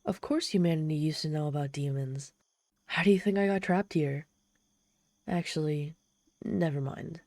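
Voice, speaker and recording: A woman's voice: low-pitched, normal speed, long pauses, darkish tone, no echo, studio quality. A woman playing a proud, lonely, desperate and socially awkward demon in a dramatic audio roleplay, haughty yet pleading. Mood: haughty, exasperated. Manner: haughty yet pleading, theatrical sighs and gasps. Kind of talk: dramatic ASMR roleplay storytelling.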